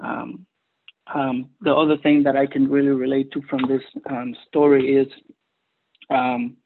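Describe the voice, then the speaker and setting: A man from Cuba with a Cuban Spanish accent, Spanish his first language, giving an earnest personal testimony at a recovery meeting with many hesitant pauses. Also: mid-range voice, flat delivery, gravelly, slight background noise. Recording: tinny phone-line audio.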